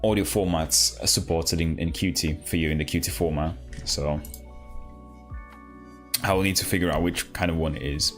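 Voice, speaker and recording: A man hosting a live coding stream, talking casually and haltingly as he thinks aloud and asks himself questions. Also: sits low, fairly even intonation, faint music behind. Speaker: livestream host, programmer.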